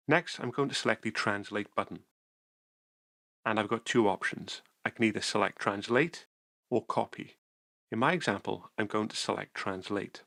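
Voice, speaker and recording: A man calmly narrating a software tutorial screencast, explaining each step methodically. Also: fairly low pitch, gravelly, dry recording, clean studio sound.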